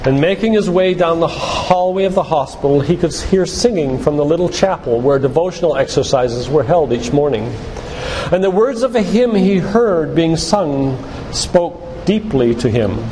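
An older man speaks like a lay preacher, telling stories and reading quotations deliberately and earnestly. His voice sits a little high for a man. It rings with long reverb in a church hall, with noise behind it. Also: lively intonation, darkish tone. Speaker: physician and lay preacher giving a church talk. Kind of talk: sermon-like lecture with read-out quotations. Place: church hall, live talk to congregation.

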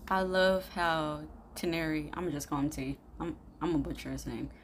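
A young woman hosts a casual reaction video from a room at home. Her voice sits on the low side, and her manner is informal and expressive. There is clear noise in the recording. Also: brisk.

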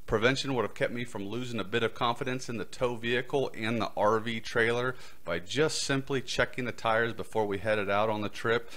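A man's voice: mid-range voice, brisk, few pauses, fairly bright tone. A middle-aged man hosting a podcast, telling a prepared personal story into a close microphone. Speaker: podcast host. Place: small studio set indoors. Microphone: close boom-arm microphone.

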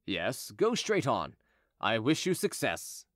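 A young patrol officer, voiced by a male narrator in a storybook. He speaks gravely and formally with steady courtesy, in a dry recording. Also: medium pitch, slow pace, dry recording, heavily acted. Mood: gallant, yielding. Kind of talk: audiobook character dialogue.

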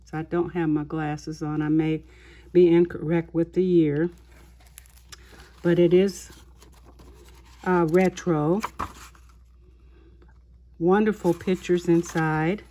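A woman hosting a haul video of her craft purchases, talking in an unhurried way with many small pauses and fillers. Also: fairly low pitch, little pitch movement, warm tone. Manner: slow, unhurried, halting with fillers. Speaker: craft channel host. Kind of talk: show-and-tell haul video. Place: indoors at a tabletop, voice-over of hands.

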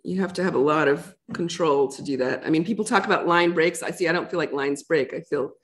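A woman's voice: low voice, brisk. A middle-aged woman poet answering audience questions in a reflective, conversational way, thinking aloud as she goes. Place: lecture room with a projection screen.

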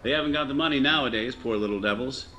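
A man's voice: medium pitch, fast talker, bright, forceful tone, soft background music, natural voice, low-quality recording. A man playing a charming, glib American racketeer in a radio drama, jaunty and cynical.

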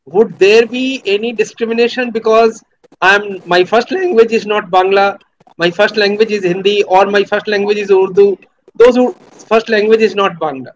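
A man's voice: high-pitched, a little hiss or hum, rough recording. A middle-aged man hosting the program, speaking formally and emphatically through a headset on a video call from a small room. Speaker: program host, teacher. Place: small home room indoors.

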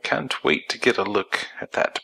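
An actor playing a sinister, eager doctor with a gravelly voice in an audio horror drama. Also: male voice, lowish voice, moderate pace, sharp tone, exaggerated voice. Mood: gleeful relish. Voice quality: creaky, gravelly. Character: Doctor Castle, sinister doctor eager to dissect a brain. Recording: echoing hall with long reverb.

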